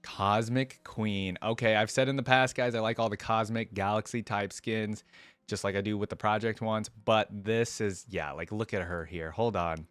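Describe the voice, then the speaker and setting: Low-voiced man giving casual reactions. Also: fairly even intonation, normal speed, fairly bright tone, dry recording. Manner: informal, musing, thinking aloud. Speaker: reaction video host.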